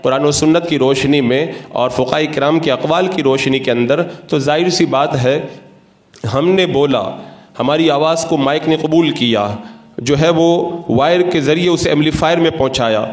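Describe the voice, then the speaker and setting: Male cleric, slightly higher-pitched, formal and didactic religious lecturer, recorded with clear room echo. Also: normal speed. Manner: didactic, methodical, formal Urdu-laden diction. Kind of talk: religious lecture, explanatory sermon. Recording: roomy, echoing sound.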